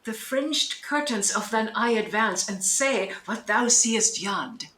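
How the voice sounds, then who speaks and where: An actress playing a commanding, scheming sorceress mother with stern, deliberate delivery in a Shakespearean audio drama. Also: a little high, animated, bright, forceful tone, heavily acted. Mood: stern, knowing. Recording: echoey room, remote recording.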